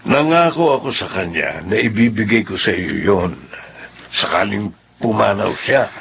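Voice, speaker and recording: An actor with a Filipino accent playing an earnest, sincere former prisoner in a radio drama, speaking deliberately to a widow. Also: male voice, lowish voice, expressive, gravelly, fairly bright tone, a little hiss or hum. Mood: earnest, relieved.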